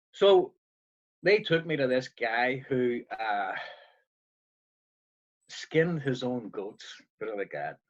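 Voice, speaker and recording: A man's voice: mid-range voice, dull audio. A man in his fifties from Northern Ireland with a Northern Irish accent, chatting as a musician guest and telling stories with dry humor. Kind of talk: casual video call chat.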